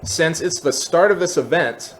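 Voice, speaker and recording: A man hosting a casual software walkthrough, explaining in an informal, lightly self-deprecating way. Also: a little high, normal speed, slight background noise. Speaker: tutorial host.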